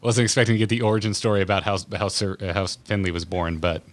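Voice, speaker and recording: A man's voice: lowish voice, fast. A man hosting a podcast, talking in casual interview banter.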